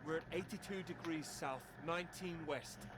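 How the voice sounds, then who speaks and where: A man's voice: a little high, moderate pace, background noise, lightly acted. A man playing a stranded man with an anxious, urgent manner. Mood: worried. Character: panicked stranded young man.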